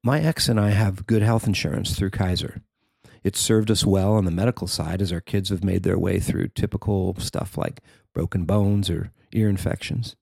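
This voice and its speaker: Male narrator, middle-aged, deep and gravelly, reading a personal feature in a very dry recording. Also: darkish tone, no echo. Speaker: writer and narrator.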